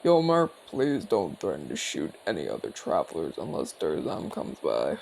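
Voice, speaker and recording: A seasoned orc commander played by a man in a fantasy audio roleplay. His speech swings widely in pitch, with a gravelly edge, as he explains things in a casual, cocky, teasing way. Faint ambient noise sits behind him. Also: wildly swooping pitch, normal speed, a little mellow, exaggerated voice. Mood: confident, explaining. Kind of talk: ASMR audio roleplay acting.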